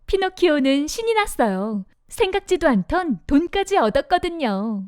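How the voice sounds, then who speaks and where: Lively sing-song woman storyteller. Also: high-pitched, moderate pace, noisy background. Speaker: narrator. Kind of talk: children's storybook narration.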